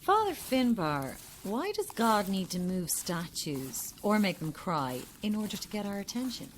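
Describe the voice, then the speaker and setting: Blunt, animated Irish woman journalist. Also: mid-range voice, measured pace, slight background noise. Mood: challenging. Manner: challenging, dry. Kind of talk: audio drama acting.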